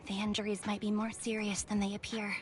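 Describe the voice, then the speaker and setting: A woman's voice: mid-range voice, monotone, brisk, faint music behind, lightly acted. A woman playing an earnest, caring young woman in a video game.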